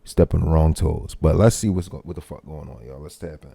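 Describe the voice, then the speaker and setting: A man's voice: extremely low pitch, fairly quick, vocal fry, heavy background noise. A young man hosting a casual reaction video, greeting his chat in laid-back slang. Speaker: reaction channel host.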